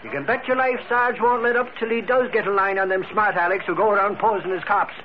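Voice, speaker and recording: An actor playing a brash, excitable, sarcastic police-beat reporter, slangy and emphatic, in 1940s radio style. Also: male voice, sits high, fast talker, natural voice. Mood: confident. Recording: muffled, noisy old radio recording.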